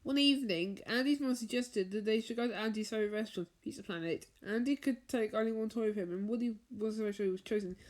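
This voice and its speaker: A woman reading a children's bedtime story aloud, haltingly, stumbling over and repeating words as she goes. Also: fairly high pitch. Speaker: storybook reader.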